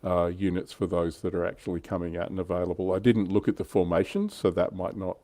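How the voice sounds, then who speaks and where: A man's voice: sits low, steady tone, fast talker, mellow, no room sound. A middle-aged man, hosting a casual livestream chat about tabletop wargaming. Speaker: livestream host.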